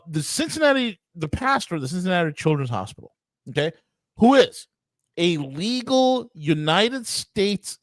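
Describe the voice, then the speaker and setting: Animated New York man, emphatic. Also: fairly high pitch, no room sound. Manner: emphatic, exasperated, stammering restarts. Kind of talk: heated podcast debate.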